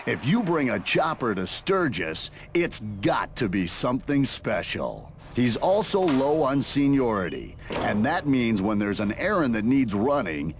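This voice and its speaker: A man's voice: medium pitch, noisy background. A man narrating a motorcycle video game in a scripted, reality-show storytelling style.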